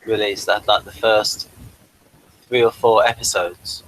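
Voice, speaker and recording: A man's voice: on the low side, level tone, a little slow, fairly bright tone, a little hiss or hum, rough recording. A man hosting a casual pop-culture review, rambling keenly through small details. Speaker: review show host.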